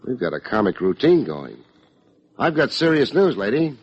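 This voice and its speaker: An actor playing a world-weary, sardonic private detective in an old-time radio drama, delivering wry, deadpan wisecracks and narration. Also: male voice, medium pitch, normal speed, slightly rough. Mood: wry, bantering. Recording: dull old radio recording, music behind.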